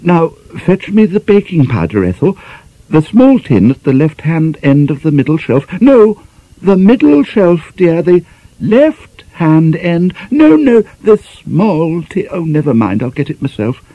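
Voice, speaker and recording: Man putting on a high, fluttery genteel spinster. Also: big pitch swings, a little slow, no room sound, dull audio, full character voice. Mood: flustered, impatient. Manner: dithering, hesitant, fussily polite. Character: fussy, flustered genteel spinster lady scolding her maid.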